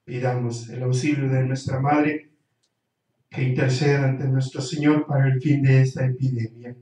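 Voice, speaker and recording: A middle-aged man, a priest celebrating mass at the altar of a small church chapel, reciting the prayers in a solemn, measured way, recorded by a camera at a distance. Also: medium pitch, level tone, mellow, very echoey. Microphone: far camera.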